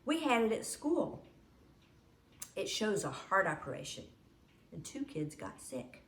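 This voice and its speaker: A frank, matter-of-fact little schoolboy from a storybook, acted out by a woman reader. The delivery is unhurried, with lively pitch movement and room echo around it. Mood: blunt, frank. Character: blunt young schoolboy, little brother in a storybook.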